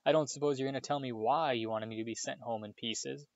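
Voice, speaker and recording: A man's voice: mid-range voice, steady tone, quick pace, dry recording, natural voice. Guy reading a stubborn schoolboy wizard, pushy urgent questions, kinda dull dry audio. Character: determined young schoolboy wizard, bold. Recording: slightly dull dry recording.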